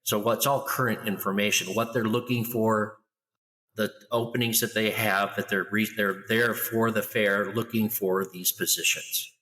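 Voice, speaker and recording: A middle-aged man talking loudly and with lively energy as a guest in an interview. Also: lowish voice, moderate pace, big-room sound, poor audio. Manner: loud, animated storyteller. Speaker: guest, international school educator. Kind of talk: conversational interview.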